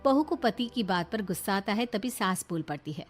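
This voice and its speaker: A woman's voice: mid-range voice, expressive, moderate pace, faint music behind. A woman storyteller reading scripted narration for a moral story.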